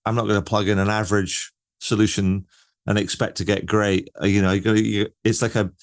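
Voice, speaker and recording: A man's voice: on the low side, level tone, brisk. A man hosting an interview, talking in a loose, conversational way with many fillers such as "you know" and "like". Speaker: interview host.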